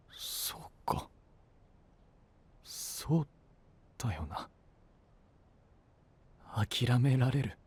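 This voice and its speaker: A man playing an earnest, self-reproaching young apprentice knight in an anime-style audio drama, with a breathy, husky voice and intense delivery. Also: medium pitch, heavily acted. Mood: downcast, subdued. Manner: intense, brooding, emotionally swinging delivery.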